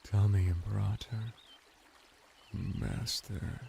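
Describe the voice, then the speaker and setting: A flirty merman played by a man murmurs seductively in a low, creaky voice. He draws out his words very slowly, teasing and servile, in intimate ASMR roleplay style. Noisy watery sound sits behind him. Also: fairly even intonation, warm tone, exaggerated voice. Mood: sultry, enticing. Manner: slow, teasing, breathy murmur with dramatic pauses.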